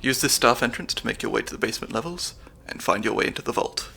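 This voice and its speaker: A man's voice: mid-range voice, animated, fast talker, gravelly, slight background noise. A young man voicing a nerdy, slightly anxious stand-in spy handler with dry wit, in an ASMR audio roleplay. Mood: analytical. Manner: analytical, dry wit, soft-spoken.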